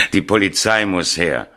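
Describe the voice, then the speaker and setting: An actor playing an eccentric, mocking professor of the occult in a 1970s radio play, speaking theatrically in clipped phrases with dramatic pauses. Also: male voice, low voice, expressive, pushed and bright, full character voice. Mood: emphatic, mocking. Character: eccentric American parapsychology professor, ominous and mocking.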